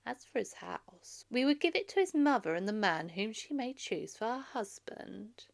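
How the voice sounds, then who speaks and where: Lady doing a smug scheming suitor guy from a storybook, mocking, a bit higher pitched. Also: sing-song, moderate pace, vocal fry. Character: mocking, scheming male suitors, arrogant villains.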